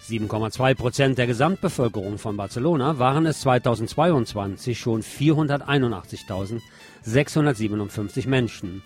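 A man's voice: mid-range voice, brisk. A man delivers a scripted news commentary in a mid-range voice. He speaks as a presenter, with guitar music playing faintly behind him.

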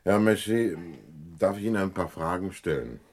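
Low-voiced, probing police inspector. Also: male voice, lively intonation, a little mellow. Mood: probing, courteous. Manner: measured, official, probing. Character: methodical male police inspector, probing, courteous, stern. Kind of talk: vintage German radio crime play acting.

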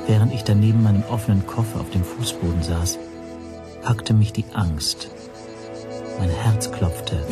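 A man playing an anxious language teacher who narrates his ordeal in a radio drama, measured and introspective. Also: lowish voice, lightly acted.

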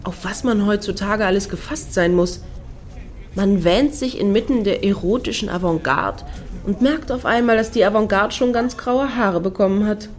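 An actress playing a wry, self-indulgent clubgoer in a comic radio play, talking articulately and with irony. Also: female voice, mid-range voice, normal speed, a little mellow, natural voice. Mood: sardonic. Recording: music behind the voice.

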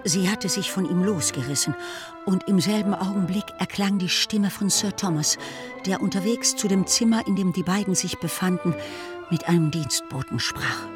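A woman's voice: on the low side, moderate pace, over music. A woman narrating a literary radio play as scripted storytelling.

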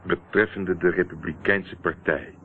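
A man's voice: low voice, steady tone, moderate pace, slight background noise, lightly acted. An actor playing a shrewd, teasing investigator in a radio play, wry and controlled as he probes. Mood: dry, knowing. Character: shrewd, teasing male investigator, calmly pressing. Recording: muffled, narrow-band old radio recording.

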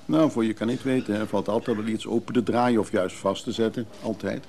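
An actor playing a guarded, grumbling older man, measured and brusque, in a radio drama. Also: fairly low pitch, a little mellow, a little hiss or hum, close to an everyday voice. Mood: proud, precise.

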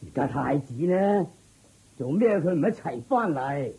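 A man's voice: fairly high pitch, expressive, measured pace, vocal fry, mellow, breathy edge, exaggerated voice. A man playing an anxious king and worried father in a radio drama, sighing and demanding as he speaks. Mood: alarmed, demanding.

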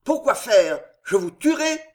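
A reader voicing a frenzied, desperate young nobleman who speaks loudly and vehemently in an old-fashioned storybook. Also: mid-range voice, animated, moderate pace, close to an everyday voice. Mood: frantic. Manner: loud, vehement, near shouting.

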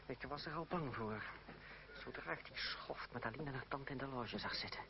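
An actor playing a proud, ironic young playwright and jealous fiancé in a historical radio drama, speaking in an articulate, self-controlled way. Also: male voice, mid-range voice, moderate pace, gravelly, noisy background, natural voice. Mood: bitter, brooding. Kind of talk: theatrical radio drama acting. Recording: dull old radio play recording, crowd behind.

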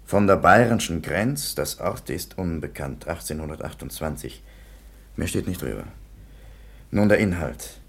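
A very deep-voiced commissioner with a gravelly creak. He is measured and a little pedantic, setting out matters plainly in radio drama style. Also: lively intonation, full character voice. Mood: slow reading aloud. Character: matter-of-fact male police commissioner presenting evidence. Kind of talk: German radio play acting.

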